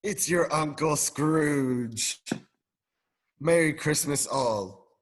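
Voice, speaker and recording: A man's voice: a little high, drawn-out words. A male drama student playing an elderly reformed miser, joyful and repentant, in a radio play. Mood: repentant, warm.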